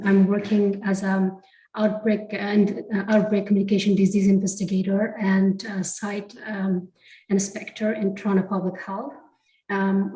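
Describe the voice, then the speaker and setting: A woman's voice: mid-range voice, warm tone. Hesitant woman, distant echoey mic. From Afghanistan. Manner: hesitant, many um fillers. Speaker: guest, community founder. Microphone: video call mic. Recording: distant echoey video call.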